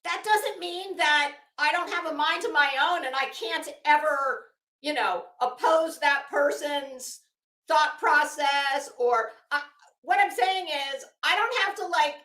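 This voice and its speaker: Woman, casual commentary monologue, sharp bright tone, emphatic, sweary, roomy echo. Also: high-pitched, unhurried. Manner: emphatic, blunt, swears freely, repeats words for emphasis. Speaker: online commentary host.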